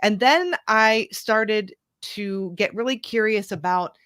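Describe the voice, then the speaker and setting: A woman's voice: medium pitch, slow, a bit bright, dry recording. A woman coach in her thirties, a guest telling her story in an earnest, reflective way. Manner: earnest, reflective, restarts and fillers. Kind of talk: conversational interview.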